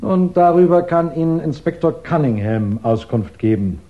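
An actor playing a courteous, formal chief inspector with faintly sarcastic politeness in a 1960s radio drama. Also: male voice, on the high side, lively intonation, moderate pace, natural voice. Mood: suave, formal. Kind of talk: 1960s German radio crime drama acting. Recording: dull 1960s radio recording.

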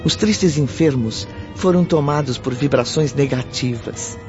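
An actor serving as the solemn narrator of a Brazilian radio drama, reading a script dramatically. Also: male voice, mid-range voice, a little slow, close to an everyday voice. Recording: muffled radio drama with music.

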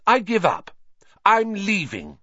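A man's voice: high-pitched, huge pitch swings, fairly quick, husky, no room sound, dull audio, voice pushed up, heavily acted. A man voicing an impatient, bossy teenage schoolgirl who speaks sharply. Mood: indignant. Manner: sharp, exasperated.

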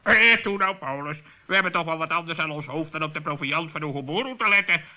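A man's voice: on the high side, fast talker, close to an everyday voice. A man playing a haughty, sly, chattering raven in a children's radio play, self-righteous and defensive. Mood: placating. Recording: dull old radio play recording.

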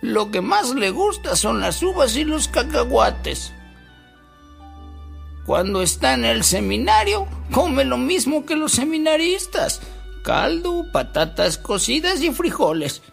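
A tiny old manservant for a radio drama, pitched very high. He is sweet, kindly and fussy, eager to please. The pitch bobs up and down in a lively way. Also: slow, gravelly, faint music behind, exaggerated voice. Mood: fond fretting.

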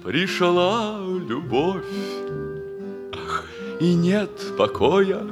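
An actor playing a flustered, smitten middle-aged army major in a 1960s radio comedy, with a theatrical, drawn-out delivery. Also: male voice, high-pitched, sing-song, exaggerated voice. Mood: lyrical, lovelorn. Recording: 1960s radio play, music behind.

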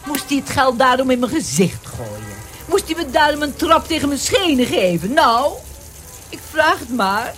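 Older wife on holiday, high swooping voice, suspicious, complaining, accusing, dramatic radio play. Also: female voice, sing-song, normal speed, a bit bright, faint music behind. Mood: indignant. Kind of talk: Dutch radio play acting.

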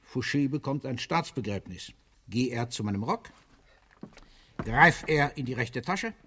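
Radio drama: aging Napoleonic general, imperious, sardonic, theatrical, slightly husky. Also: male voice, mid-range voice, animated, unhurried, a bit bright. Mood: commanding. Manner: haughty, declamatory, curtly commanding.

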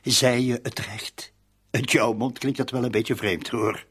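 An actor playing a dogged, sarcastic police inspector in a radio drama, husky and blustering. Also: male voice, medium pitch, steady tone, measured pace, fairly bright tone. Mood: sardonic pressure. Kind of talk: radio drama acting in dialogue.

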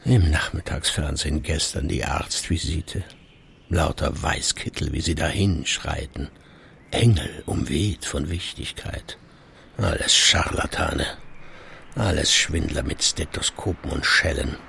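A man's voice: rumbling low, measured pace, creaky, bright, forceful tone, a little hiss or hum, exaggerated voice. An actor playing a wry, self-satisfied retired radio editor in his sixties, musing theatrically to himself in a radio play. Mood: scornful. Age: 60s. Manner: musing to himself, theatrical shifts between murmur and exclamation. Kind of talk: German radio play monologue.

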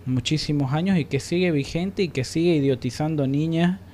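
A man's voice: fairly high pitch, brisk, dark tone, a little hiss or hum. A man hosting a panel discussion, speaking reflectively with emphatic repetition as he searches for words. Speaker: radio programme host.

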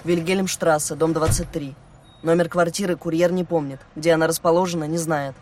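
A man playing a reserved, determined teenage boy who talks calmly and tersely. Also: fairly high pitch, a little fast, a little hiss or hum, lightly acted. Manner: calm, terse. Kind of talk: audio drama acting.

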